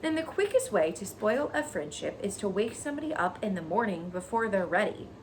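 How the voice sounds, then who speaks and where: Casting: a woman doing a bossy old ewe for a storybook, scolding with animated swings in pitch. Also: a little fast, a little hiss or hum, lightly acted, rough recording. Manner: stern, lecturing. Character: old female sheep, scolding and disgusted.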